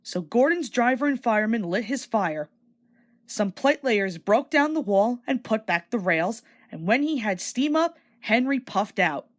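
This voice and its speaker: A woman reading a children's story aloud as an expressive, scripted read-along narrator. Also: a little high, a little slow.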